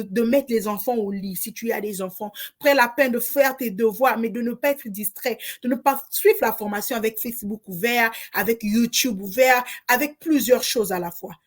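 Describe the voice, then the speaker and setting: Emphatic woman coach from Congo. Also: fairly high pitch. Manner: emphatic, repetitive, preacher-like encouragement. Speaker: online business coach. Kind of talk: motivational course introduction.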